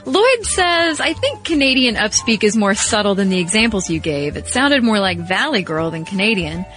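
Lively, playful woman podcast co-host. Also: mid-range voice, normal speed, faint music behind. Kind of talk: reading listener mail on a podcast.

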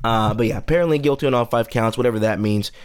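A man's voice: mid-range voice, quick pace, clear, resonant tone, dry recording, clean studio sound. A man in his late twenties hosting a solo podcast, rambling through loose, profane casual commentary. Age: 20s. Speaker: podcast host.

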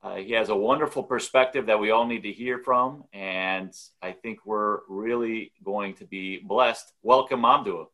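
A male podcast host talks in a measured, encouraging way as he leads an interview. His voice sits a little low for a man. He speaks steadily and warmly to his guest. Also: low-quality recording.

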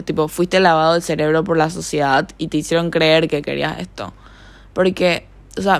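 A woman's voice: lowish voice, vocal fry, no room sound. A young woman from Paraguay with a Paraguayan accent tinged with Argentine tones, rambling in a casual solo podcast from her bedroom. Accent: Paraguayan Spanish with some Argentine tone. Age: young adult. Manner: rambling, chatty, filler-heavy. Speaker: podcast host.

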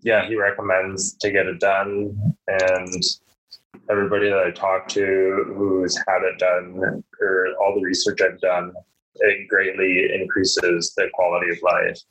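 Low, flat-voiced young man. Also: measured pace, rough recording. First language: Polish. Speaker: podcast guest. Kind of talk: casual interview answers.

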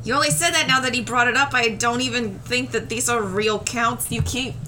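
A sarcastic woman player with a somewhat high voice who teases the game master. Clear background noise hangs under her words. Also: steady tone, brisk, sharp tone. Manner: sarcastic, teasing. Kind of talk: casual tabletop play.

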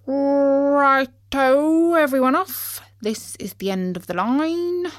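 A woman's voice: medium pitch, lively intonation, takes it slowly, a bit bright, no room sound, clean studio sound. A woman voicing a brusque, jeering male bus driver who could not care less.

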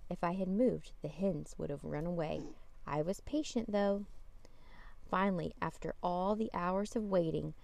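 Creaky low-voiced woman reading children's storytime. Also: a little slow, darkish tone, no echo. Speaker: storytime podcast host and reader. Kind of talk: children's picture book read-aloud.

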